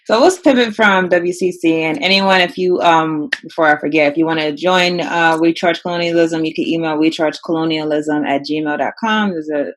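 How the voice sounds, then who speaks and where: An interviewer asking relaxed questions, with brief affirmations and some fillers. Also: mid-range voice, moderate pace, few pauses. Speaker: interviewer host.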